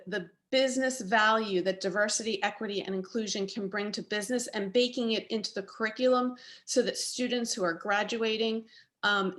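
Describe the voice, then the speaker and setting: A woman closing a prepared talk in a measured, encouraging way. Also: medium pitch. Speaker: speaker.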